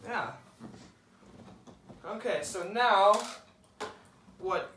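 Slow-talking teen boy unboxing a toy. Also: sits high, noisy background. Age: teens. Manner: slow, halting, describes each step aloud. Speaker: unboxing host. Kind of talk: casual unboxing narration. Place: living room, seated at a table. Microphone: camera across the table. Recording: roomy, camera some distance off.

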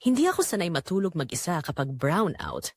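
Calm, seductive neighbour lady, read by a woman. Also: lowish voice, a little fast, husky, no room sound, clean studio sound, heavily acted. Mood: soft seductive. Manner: soft-spoken, seductive. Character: calm, bold, teasing mysterious older neighbour woman. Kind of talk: audio romance story dialogue.

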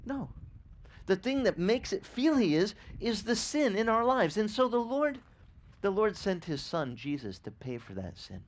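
Man, 40s, Italian first language, beach pastor; very lively pitch, asks the crowd questions. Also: unhurried, low-quality recording. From grew up speaking Italian. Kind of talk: outdoor sermon. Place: sandy beach outdoors.

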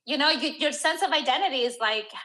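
A woman's voice: sits high, fast, pushed and bright. A woman from Peru talking as a podcast guest, reflective and searching, with many "like" fillers. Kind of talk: candid interview conversation.

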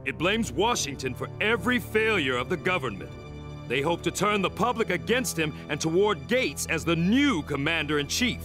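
An earnest, wary young colonist. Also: male voice, fairly high pitch, very animated, normal speed, over music.

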